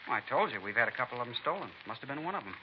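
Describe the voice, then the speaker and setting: An actor playing an earnest, defensive young American hot rod club member in a 1950s radio drama, talking slangy and insistent. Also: male voice, mid-range voice, sing-song, racing, natural voice. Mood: wary. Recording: dull 1950s radio recording, faint noise.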